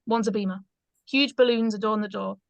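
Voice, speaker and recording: A woman's voice: medium pitch, fairly even intonation, brisk, vocal fry, no echo, muffled recording. A woman reading stage directions aloud as scripted narration in a screenplay read-through.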